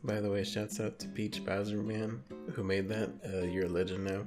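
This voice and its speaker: A man with a lowish voice banters as co-host of a comedy show. He talks in loose slang and teases as he goes. Guitar music is clearly audible behind him. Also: little pitch movement, moderate pace. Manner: teasing, slangy. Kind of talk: casual joking banter.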